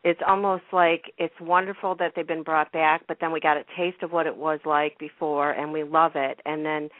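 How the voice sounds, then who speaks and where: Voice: medium pitch, level tone, normal speed, no room sound. A radio host talks casually over a narrow, phone-like line with a dry sound. The manner is agreeable and supportive, chiming in with short affirmations and speaking in an informal, conversational way. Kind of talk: casual chat.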